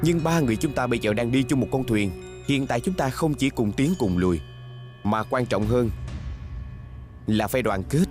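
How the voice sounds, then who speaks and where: A man's voice: mid-range voice, lively intonation, moderate pace, pauses often, a little mellow, faint music behind, close to an everyday voice. A man dubbing a scheming young nobleman in an ancient court drama, with a formal, courtly, insinuating manner. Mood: smugly courteous. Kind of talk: Vietnamese dubbing of costume drama.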